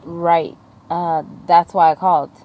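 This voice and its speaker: A reserved young Cuban exchange student in a web drama, acted by a woman. Her voice sits a little low and she speaks in a guarded, hesitant way. Clear location noise runs behind her. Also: level tone, a little slow, dull audio, natural voice. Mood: casual. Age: young adult. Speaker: actor in a web series. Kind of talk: naturalistic short-film acting.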